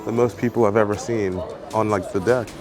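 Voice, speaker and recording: A man vlogging on a cruise ship deck, chatty, excitable and teasing in his casual talk. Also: fairly low pitch, a little fast, over music. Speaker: travel vlogger. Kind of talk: casual vlog chat.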